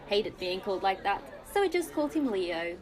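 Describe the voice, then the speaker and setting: A woman's voice: mid-range voice, sing-song, brisk, a crowd in the background, natural voice. Chatty, motherly café woman played by an actress. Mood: gossipy, lively. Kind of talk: audio play acting.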